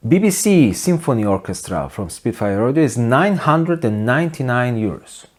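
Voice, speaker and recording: A man in his forties giving an opinionated video essay to camera in a deadpan, wry manner. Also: medium pitch, moderate pace. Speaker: host of a music production video channel. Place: small home studio, indoors.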